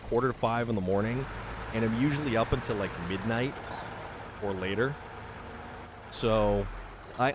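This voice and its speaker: A man's voice: medium pitch, noisy background. A man from Massachusetts chatting casually with viewers while he plays a driving game, rambling with fillers and thanking people by name. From Massachusetts, New England. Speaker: streamer. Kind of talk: casual live-stream chat while playing.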